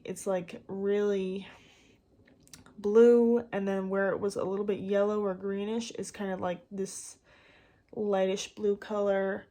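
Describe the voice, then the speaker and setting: Rambling young woman vlogger, casual and slightly raspy. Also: medium pitch, dark tone. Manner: rambling, filler-heavy with frequent "like". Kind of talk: casual impromptu chat to camera. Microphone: phone camera.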